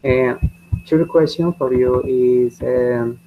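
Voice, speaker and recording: Slow, gravelly male teacher. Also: mid-range voice, fairly even intonation. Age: 20s. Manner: slow, halting, repeats each question several times. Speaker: English teacher. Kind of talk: beginner English class session. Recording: dull lo-fi audio with faint noise.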